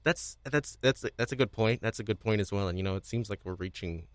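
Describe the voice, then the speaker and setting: A man hosting a casual panel discussion, speaking haltingly and often repeating himself as he restarts phrases. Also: on the low side, no room sound. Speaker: podcast host.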